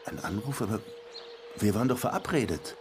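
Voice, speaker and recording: A man's voice: lowish voice, very animated, a little fast, over music. A man playing a weary, cynical police detective in a German radio crime drama, terse and resigned. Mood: hurt, subdued.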